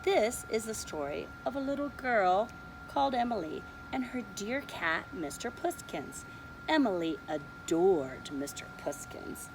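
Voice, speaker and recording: A preschool teacher reading a picture book aloud to young children, slowly and expressively, pointing out the pictures. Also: female voice, mid-range voice, noisy background. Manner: slow, expressive read-aloud, showing pictures.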